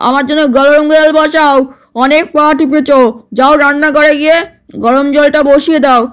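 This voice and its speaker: Woman putting on a high-pitched grumpy old grandpa. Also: level tone, moderate pace, lightly acted. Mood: irritated, bossy. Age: older. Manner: scolding, barking orders. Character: grumpy, bossy old father-in-law doll, a grandfather. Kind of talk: puppet doll cartoon acting.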